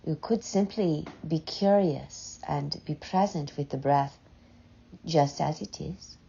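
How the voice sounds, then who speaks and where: Very slow, low-voiced woman guiding meditation. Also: gravelly, slightly rough. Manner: gentle, unhurried, with long pauses between short phrases. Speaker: guided meditation leader. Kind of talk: slow, soothing guided meditation. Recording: dull, muffled lo-fi sound.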